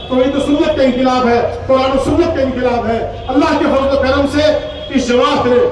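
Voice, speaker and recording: High-pitched male cleric orating, heavy echo. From Poonch, Jammu and Kashmir, India. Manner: exhortatory, emphatic, with rhetorical repetition. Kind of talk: impassioned Urdu public oration at a religious gathering. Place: large gathering, speaking from a stage. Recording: echoey public address, distant, faint noise.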